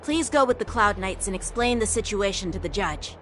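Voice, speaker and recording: A woman voicing a composed, authoritative swordswoman in a video game, speaking in a measured, official way. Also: mid-range voice, expressive, fairly bright tone, a little hiss or hum, natural voice. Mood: composed authority. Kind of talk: video game story dialogue.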